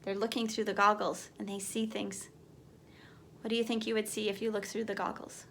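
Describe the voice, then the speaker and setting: A woman's voice: medium pitch, little pitch movement, fairly quick, gravelly, a bit bright, slight background noise. A woman teacher reading a children's picture book aloud, expressively, as if putting questions to young listeners.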